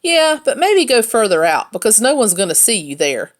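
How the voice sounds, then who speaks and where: A woman's voice: fairly high pitch, big pitch swings, normal speed, pitched above the performer's own voice. Woman reading as an earnest young city boy. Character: young boy narrator, earnest, plain-spoken city kid.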